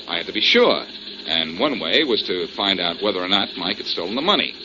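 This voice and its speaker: Cocky radio detective, lively delivery. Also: male voice, sits low, a little fast. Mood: confident explaining. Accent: American. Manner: breezy, teasing, explanatory. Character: cocky, wisecracking male amateur detective, smugly clever. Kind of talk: 1940s radio detective acting. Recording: noisy, muffled 1940s radio recording.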